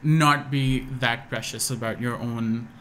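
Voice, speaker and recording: A man's voice: medium pitch, steady tone, unhurried, a bit bright. Hesitant young man with American accent. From Pakistan. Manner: hesitant, restarts often, many fillers. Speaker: guest musician. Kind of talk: casual podcast interview.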